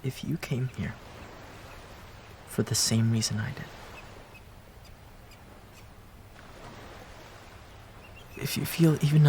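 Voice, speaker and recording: A man acting a heartbroken ex-boyfriend in an intimate romantic audio roleplay, pleading in a halting, breathy, emotional way. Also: medium pitch, dark tone, slight background noise. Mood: quiet, pleading.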